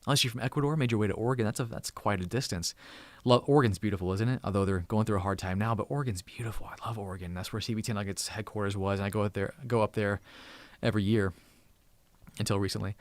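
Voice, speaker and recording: A man in his thirties chats with his viewers on a live stream from home, upbeat and thankful, often tripping over and repeating words. His voice sits fairly low and sounds dry and close to the mic. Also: steady tone, quick pace, no room sound. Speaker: tech channel host. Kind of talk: casual live stream chat. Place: home room.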